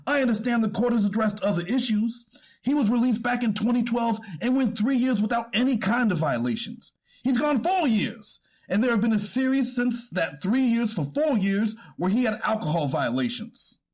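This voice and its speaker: A defense attorney played by a man pleads for his client in a staged courtroom reading. His voice is high and husky, with lively swings in pitch. He stays formal and deferential to the bench, turning hesitant when challenged. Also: moderate pace, full character voice. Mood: earnest, pleading. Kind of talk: readers theater courtroom transcript reading.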